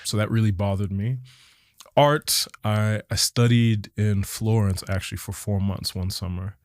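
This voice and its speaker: A man's voice: lowish voice, a little slow, no echo, clean studio sound. A middle-aged South African man with a slight American twang, telling stories in a casual interview and laughing often. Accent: South African with some American twang. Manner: laughs often, animated storytelling. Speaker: guest.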